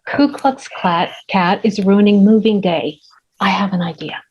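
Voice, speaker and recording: A woman's voice: mid-range voice, a little slow, husky. A woman at a table read playing an eager, nervous woman of twenty-five, on a lo-fi recording. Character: upbeat, anxious 25-year-old woman, new renter. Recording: dull lo-fi sound.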